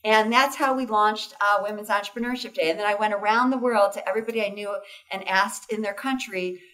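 A woman's voice: medium pitch, steady tone, a little fast, a bit bright, distant mic, low-quality recording. A woman being interviewed as a foundation founder, talking enthusiastically and often tagging sentences with "right?" and "you know". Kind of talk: interview conversation.

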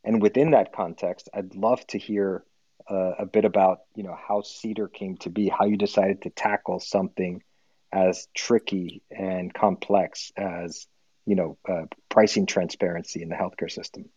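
A man's voice: sits low, steady tone, moderate pace, warm tone, breathy edge, no echo. A man hosting an interview, asking long, friendly questions with hesitations. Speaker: host, interviewer. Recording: dull lo-fi audio-room stream.

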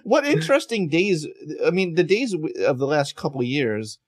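A man's voice: on the high side, huge pitch swings, moderate pace. A man hosting a podcast, chatting in a loose, rambling, self-deprecating way with many restarts. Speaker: podcast host. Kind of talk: casual podcast chat.

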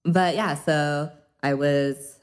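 A woman's voice: sits low, fairly even intonation, very slow, slight background noise. A young woman with an American accent, raised in Hong Kong, chatting casually as an interview guest and laughing often. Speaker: interview guest, pole dance instructor.

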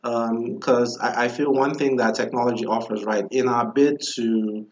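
A man hosting a casual interview, relaxed and chatty, with lots of fillers and easy laughter. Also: medium pitch, moderate pace. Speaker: interview host. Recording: dull lo-fi audio.

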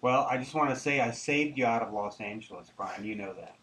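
A middle-aged man from Denmark, talking conversationally and haltingly as an interview guest. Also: medium pitch, fairly bright tone, low-quality recording. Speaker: interview guest, tobacco businessman. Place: studio, live interview. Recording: clear background noise.

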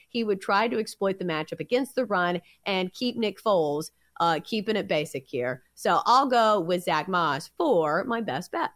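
A woman with a Southern accent hosting a sports betting podcast, breaking down picks in a steady, analytical way with frequent filler sounds. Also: medium pitch, no room sound, studio quality. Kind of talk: casual sports betting chat.

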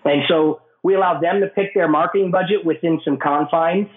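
A man with a cold, his voice raspy and hoarse, answering interview questions at length with many restarts. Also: a little high, fairly even intonation, a little fast, slight background noise. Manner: explanatory, with frequent restarts and fillers. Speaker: guest, business owner. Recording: tinny phone-like line in an echoey room.

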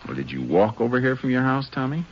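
An actor playing a steady, laconic Texas Ranger investigator in a 1950s radio drama. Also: male voice. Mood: gentle, reassuring. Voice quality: very deep, gravelly. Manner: laconic, folksy, measured. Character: steady male Texas Ranger investigator, gentle with children, grimly weary. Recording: dull, noisy old radio recording.

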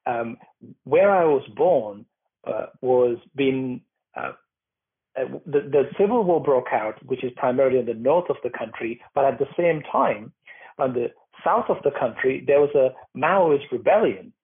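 A man's voice: medium pitch, animated, a little slow, breathy edge. Sri man on a tinny line. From Sri Lanka. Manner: thoughtful, many fillers and restarts. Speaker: guest, documentary maker. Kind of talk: interview answers, personal account.

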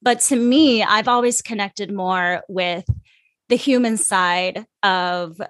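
A woman's voice: mid-range voice, takes it slowly, a bit bright, no room sound. A woman in her thirties hosting a casual interview, chatty and candid, with frequent "like" fillers. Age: 30s. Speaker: podcast host.

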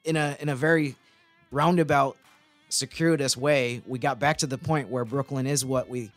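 A man co-hosting a sports podcast, talking through analysis in a casual, wordy, measured way. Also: medium pitch, steady tone, no room sound, faint music behind.

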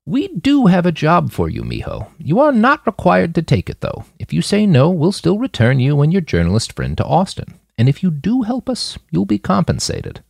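Audiobook role: elderly matriarch played by a male narrator, wry, matter-of-fact, measured, creaky, close dry sound. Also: a little high, wildly swooping pitch, a little mellow, dry recording, voice pushed up, full character voice. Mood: businesslike. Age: older. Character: eldest old woman leader, wry, blunt, matter-of-fact grandmother.

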